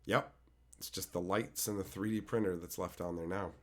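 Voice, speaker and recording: A man, hoarse from a cold, casually chatting with his live-stream viewers and swearing freely. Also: low-pitched, fairly even intonation, normal speed, a little mellow. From Canada. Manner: loose, swears freely, answers chat by name. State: hoarse from being sick. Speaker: live streamer reading chat.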